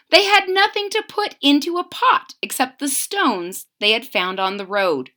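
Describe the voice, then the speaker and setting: A woman reading a picture book aloud to children, with an expressive voice and questions asked straight to her young listeners. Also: a little high.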